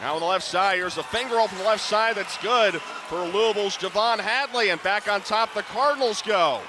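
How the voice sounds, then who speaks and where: A middle-aged man calling live basketball on radio in clipped running descriptions that can rise to excited calls. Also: high voice, a little fast, noisy background. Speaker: play-by-play basketball announcer. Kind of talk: live radio sports commentary. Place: courtside in a basketball arena.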